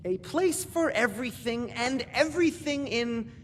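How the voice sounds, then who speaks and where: A woman's voice: a little high, lively intonation, a little slow, a little hiss or hum. A woman playing an eccentric, scatterbrained librarian in a video game, rambling and repeating themselves theatrically. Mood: fussy, muttering. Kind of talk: video game character acting.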